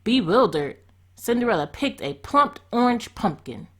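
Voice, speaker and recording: A woman storyteller narrating a children's storybook in an expressive read-aloud style. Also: on the high side, unhurried.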